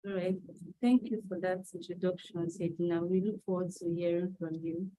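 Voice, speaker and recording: A woman's voice: lowish voice, fairly even intonation, normal speed, dark tone, rough recording. A woman moderating an online panel for her firm, sprinkling her speech with frequent "um"s. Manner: filler-heavy, with frequent "um"s. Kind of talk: webinar moderation.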